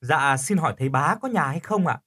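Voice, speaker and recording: Feisty young woman, husky as a man reads her. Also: fairly high pitch, animated, a little fast, sharp tone, heavily acted. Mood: polite, curious. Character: feisty young woman, bossy with friends, polite to elders.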